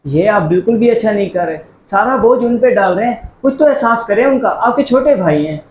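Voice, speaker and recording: A man's voice: high voice, expressive. A young man playing a caring, principled son and nephew in an amateur moral drama, earnest and reasoning. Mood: concerned, reproachful. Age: young adult. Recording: echoey room, dull, faint noise.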